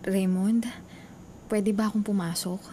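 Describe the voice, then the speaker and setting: A remorseful young woman, played by an actress pleads in a radio drama. Her voice is dark and mellow, medium in pitch, with faint noise behind. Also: steady tone, measured pace, lightly acted. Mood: pleading, urgent. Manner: earnest, pleading. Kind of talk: Filipino radio drama acting.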